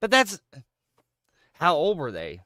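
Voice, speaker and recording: A man's voice: a little high, slow, dry recording. A man in his thirties, a gaming streamer chatting casually with jokes, swearing and playful banter. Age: 30s. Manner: joking, profane, animated banter. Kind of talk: casual chat while gaming.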